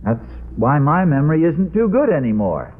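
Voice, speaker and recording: A man's voice: fairly high pitch, sing-song, moderate pace. An American man playing a forgetful, stubborn middle-aged husband in a vintage radio sitcom, hesitant and earnestly explaining his odd logic. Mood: defensive, stubborn. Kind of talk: 1940s-50s radio sitcom acting. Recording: tinny, noisy old radio recording.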